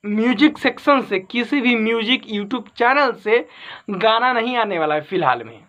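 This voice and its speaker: A man's voice: very high-pitched, lively intonation, moderate pace, fairly bright tone. A man giving informal entertainment news commentary as an online host, repeating and rephrasing his points for emphasis. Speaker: YouTube host.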